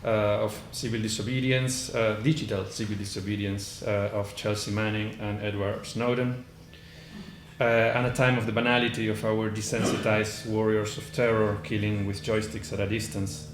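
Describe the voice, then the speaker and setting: A man with an Argentine accent delivers a philosophy paper at a conference, his voice sitting a little low. He reads from notes, hesitating often and restarting words. The recording carries the echo of a large room, with a faint hiss of noise underneath. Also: little pitch movement, normal speed. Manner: hesitant, many "uh" fillers and restarts. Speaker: conference panelist. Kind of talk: academic lecture read from notes.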